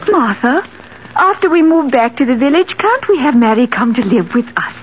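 High, timid elderly aunt. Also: female voice, sing-song, moderate pace. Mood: distressed. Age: older. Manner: hesitant, stammering, plaintive. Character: timid, wistful elderly invalid aunt in a wheelchair. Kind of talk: 1940s radio drama acting. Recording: noisy, muffled old radio recording.

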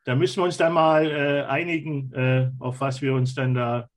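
A man co-hosting a podcast, chatting casually in halting, hesitant phrases with many pauses. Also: medium pitch, little pitch movement. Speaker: podcast co-host. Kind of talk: casual chat.

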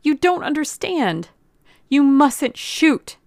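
A woman's voice: lowish voice, lively intonation, moderate pace, vocal fry, no room sound. Audiobook young office girl, read by a female narrator: sweet, earnest, pleading, recorded dry and close. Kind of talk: audiobook character acting.

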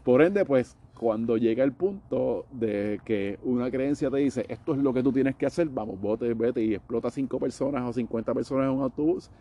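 A man's voice: medium pitch, moderate pace, hardly any pauses, dull audio. A man with a Puerto Rican accent talking in a casual debate, emphatic, mixing English words and swearing into his Spanish.

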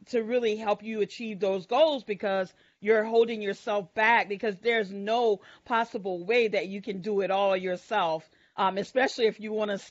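A woman's voice: medium pitch, dry recording. A woman entrepreneur giving earnest advice on a panel, leaning on fillers as she talks. The video call audio is dry and a bit dull. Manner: earnest, many fillers like you know.

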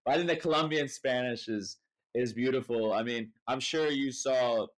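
A man's voice: mid-range voice, moderate pace. A young man with an American accent hosting a podcast in an informal, rambling, conversational way. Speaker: podcast host. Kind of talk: casual chat.

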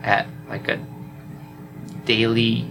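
A man's voice: medium pitch, slow, faint music behind. A young man being interviewed about his study abroad semester, talking casually with many filler words. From South Korea. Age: young adult. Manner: relaxed, lots of "like" fillers. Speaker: study abroad student guest.

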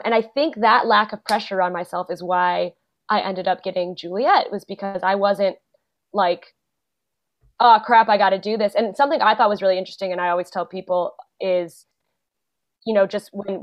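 A young adult woman, a guest actor and playwright, chatting casually in an interview, full of fillers and quick laughs. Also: medium pitch, steady tone. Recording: dull lo-fi podcast audio.

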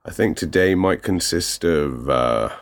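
A British man whose voice is nearly gone, speaking in a hoarse, slow croak. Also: low-pitched, expressive. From Britain.

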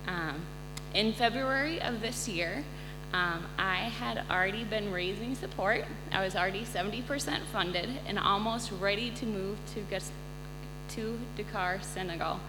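A woman with a mid-pitched voice shares a personal testimony with an audience. She speaks earnestly and haltingly, pausing between phrases. The recording carries long hall reverb and a clear mains hum. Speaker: missionary and incoming resident assistant. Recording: echoey hall, loud electrical hum.

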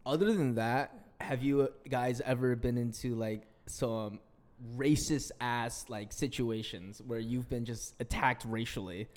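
A young man co-hosting a podcast, telling stories in a relaxed, slangy way with plenty of swearing. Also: medium pitch, unhurried. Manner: slangy storytelling with swearing and laughter. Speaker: podcast co-host. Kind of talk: casual chat.

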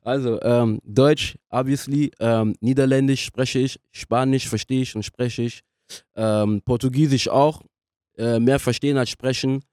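A young man speaking casually as a podcast guest, in a halting way as he searches for words. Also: medium pitch, steady tone, a little mellow, no echo, studio quality. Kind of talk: casual interview conversation.

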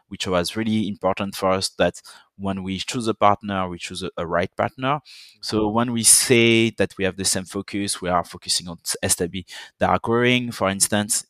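A young man with a French accent, a guest on a business podcast, speaking in deliberate, halting second-language phrasing. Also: low-pitched, a bit bright, no echo. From French Caribbean island. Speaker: guest, partner account manager.

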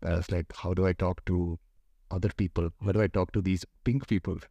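Deep-voiced Indian man hosting a chat. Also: fairly even intonation, fairly quick, mellow, no room sound. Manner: playful, teasing interviewer. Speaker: podcast host. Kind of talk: casual podcast chat.

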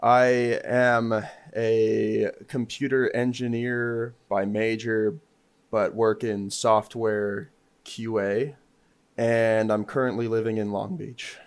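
A young man from Southern California speaks slowly with a low, flat voice. His manner is dry and unhurried. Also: clear, resonant tone. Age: 20s. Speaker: podcast guest. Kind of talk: casual conversation.

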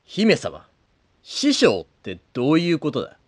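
A man playing a blunt, rough-spoken middle-aged adventurer in a comedic audio drama. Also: fairly high pitch, huge pitch swings, a little slow, dry recording, heavily acted. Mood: puzzled.

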